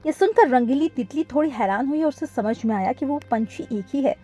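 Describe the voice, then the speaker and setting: A woman's voice: sits high, animated, brisk, dark tone, soft background music. A woman reading scripted narration for a children's cartoon story.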